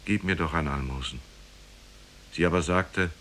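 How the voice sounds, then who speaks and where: An actor playing a grave storyteller, reciting an old parable in a solemn, measured, formal way for a 1950s German radio play. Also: male voice, extremely low pitch, fairly bright tone, slight background noise.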